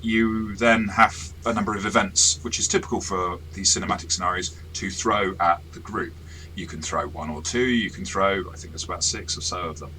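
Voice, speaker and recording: A man's voice: sits low, sharp tone, slight background noise, low-quality recording. A man in casual chat, the game master reviewing an adventure he ran, talking in a chatty, self-deprecating way with frequent restarts.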